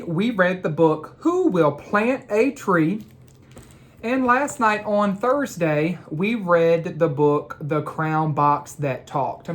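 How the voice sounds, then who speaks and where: A woman educator hosts a storybook read-aloud. Her voice sits a little low for a woman, and she takes her time, setting out each phrase in a deliberate, measured way. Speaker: educator reading a nightly children's read-aloud.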